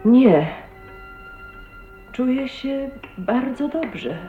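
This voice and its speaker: An actress playing a shy, troubled young wife in an emotional radio drama with intimate inner monologue. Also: female voice, sits high, animated, drawn-out words, warm tone, faint music behind, full character voice. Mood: polite, anxious. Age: young adult. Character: shy, troubled young wife of twenty-nine, brooding on her fate. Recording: muffled, noisy 1980s radio recording.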